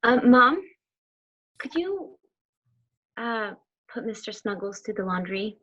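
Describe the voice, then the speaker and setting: A woman's voice: a little high, very animated, slow, fairly bright tone, lo-fi sound, full character voice. An actress reading a teenage girl who shyly asks her mom a favor, at a table read. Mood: sheepish. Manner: shy, hesitant asking.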